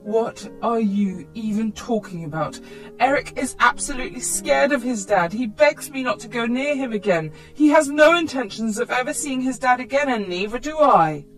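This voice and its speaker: A woman's voice: a little high, animated, moderate pace, pitched above the performer's own voice, lightly acted, low-quality recording. Woman acting fed-up defiant mum, raised emphatic voice, storytime, music under it. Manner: exasperated, emphatic. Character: indignant, defiant young mother standing her ground.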